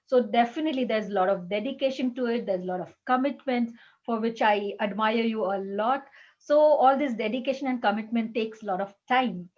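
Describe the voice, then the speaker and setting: Chatty woman host with halting delivery. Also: on the high side, dull audio. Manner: warm, halting with many fillers. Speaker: chat show host. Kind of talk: casual interview chat.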